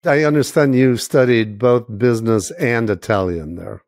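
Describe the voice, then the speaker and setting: Man, podcast host, measured prepared questions, mid pitch, dry close sound. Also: a little mellow, no echo. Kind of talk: interview questions.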